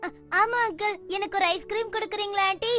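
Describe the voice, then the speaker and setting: A woman's voice: extremely high pitch, fairly even intonation, fast talker, pushed and bright. A woman voicing a cheerful, helpful magical little boy in a children's cartoon, perky and confident. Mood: friendly, polite. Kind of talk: Tamil-dubbed children's cartoon acting. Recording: slightly distant, music behind.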